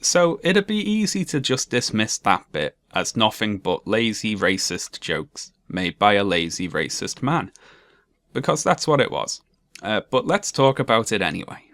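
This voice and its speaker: Monotone sarcastic male video essayist. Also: lowish voice, unhurried, no room sound.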